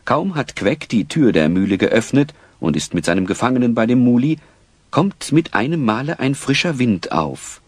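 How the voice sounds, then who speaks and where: A man's voice: mid-range voice, very animated, normal speed, dry recording. A man narrating an audio play as a scripted, dramatic storyteller. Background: music.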